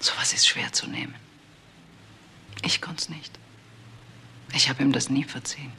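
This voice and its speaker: An actress playing a bitter, betrayed middle-aged wife and mother who confesses haltingly in a crime radio play. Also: rumbling low, steady tone, creaky, pushed and bright, in a whisper, exaggerated voice.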